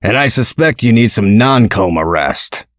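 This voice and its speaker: A man playing a gruff, protective male character in a comic dub, speaking in a measured, dry, explanatory way. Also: fairly low pitch, lively intonation, no echo, lightly acted. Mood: calm, explanatory.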